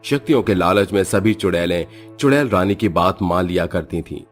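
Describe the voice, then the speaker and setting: Low-voiced man narrating a horror story. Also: lively intonation, normal speed, soft background music.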